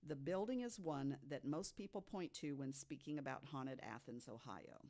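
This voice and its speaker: Deliberate storyteller with emphatic asides. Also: mid-range voice, a little mellow. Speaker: podcast guest co-host. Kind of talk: storytelling from notes to co-hosts.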